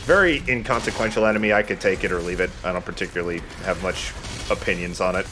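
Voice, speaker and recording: Low-voiced man doing casual game commentary. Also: fast, noisy background. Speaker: let's play host.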